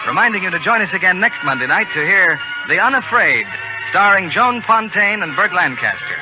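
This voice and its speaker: A man announcing on 1940s radio with a polished, emphatic, scripted delivery. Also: a little high. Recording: dull old radio broadcast recording. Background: loud music.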